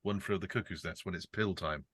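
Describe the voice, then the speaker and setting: Low, bright-toned man with wry asides. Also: fast, husky. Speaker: actor on a script reading panel. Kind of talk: casual panel discussion.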